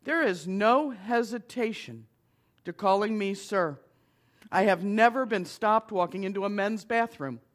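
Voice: medium pitch, unhurried. A middle-aged minister giving a conversational lecture to an audience, with wry asides.

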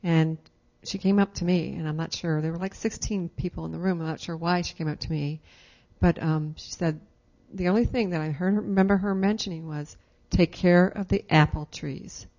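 A woman with a fairly low voice recalls a memory for a congregation. She speaks in a reflective, hesitant way. The recording sounds dull and lo-fi. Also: fairly even intonation, moderate pace, few pauses, darkish tone. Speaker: congregation member. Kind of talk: casual storytelling.

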